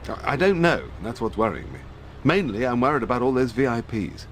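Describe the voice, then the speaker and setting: An actor playing a dapper, jittery British secret service gentleman in a video game, with a plummy upper-class English accent and fussy politeness. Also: mid-range voice, sing-song, a little fast, a little hiss or hum, lightly acted. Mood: nervous. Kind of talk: video game cutscene acting.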